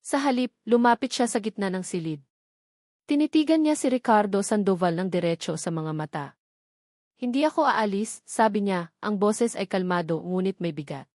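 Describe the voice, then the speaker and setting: A woman's voice: medium pitch, moderate pace, darkish tone, no room sound, studio quality. A woman reading a scripted dramatic story as its narrator.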